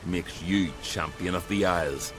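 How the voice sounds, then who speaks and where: An actor playing a proud island arena master in a video game, speaking ceremonially in archaic turns of phrase. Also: male voice, low voice, unhurried. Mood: solemn, proud. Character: proud island arena master, solemn keeper of fighting tradition. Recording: music behind the voice. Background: game music.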